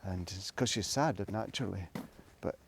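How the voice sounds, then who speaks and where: A man's voice: deep voice, normal speed, gravelly, slight background noise. A middle-aged man, rambling reflectively in a casual solo monologue, hesitant and thinking aloud as he goes. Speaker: solo video blogger.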